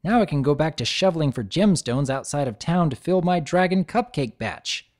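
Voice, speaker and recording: A man voicing a small, cheeky boy dragon with a squeaky, cocky, excitable manner. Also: normal speed, natural voice.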